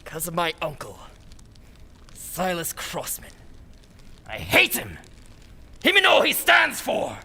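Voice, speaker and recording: Radio villain, played by a man: timid then cackling and shouting, sharp gravelly voice. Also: high voice, wildly swooping pitch, slow, long pauses, exaggerated voice. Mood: frantic. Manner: mild and hesitant, then gleeful and shouting. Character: meek man turned cackling sadistic killer. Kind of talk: 1940s radio acting.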